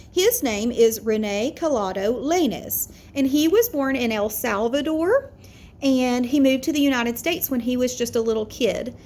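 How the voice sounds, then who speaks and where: A woman's voice: sits high, a little mellow. A woman teacher introducing a picture book to young children with simple, explanatory phrasing. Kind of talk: read-aloud for young children.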